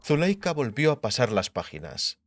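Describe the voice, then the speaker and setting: A man's voice: medium pitch, huge pitch swings, normal speed, no echo. A man narrating a fiction audiobook from a script in a storytelling style.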